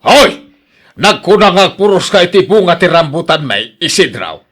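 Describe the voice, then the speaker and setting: An actor playing a grumpy, stingy old husband in a radio drama, scolding and complaining with an Ilocano accent. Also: male voice, sits high, sing-song, normal speed, fairly bright tone, heavily acted. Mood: angry, scolding. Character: grumpy, stingy old husband guarding his fruit trees. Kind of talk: Filipino radio drama acting.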